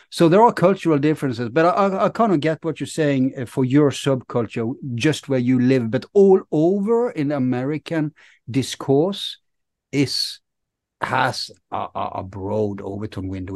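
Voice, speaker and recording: A man in his thirties co-hosting a podcast, talking with halting restarts on a video call. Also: mid-range voice, darkish tone, dry recording. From Norway. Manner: hesitant, repeats words. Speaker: podcast co-host. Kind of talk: casual conversation. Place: home study with bookshelves, video call. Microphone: headphones and studio mic.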